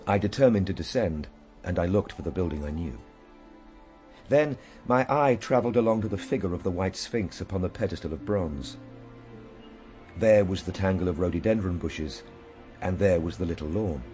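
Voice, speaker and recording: An actor playing a reflective gentleman traveller, narrating his journey in a measured, literary, solemn manner for a radio drama. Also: low voice, faint music behind, natural voice. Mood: solemn wonder.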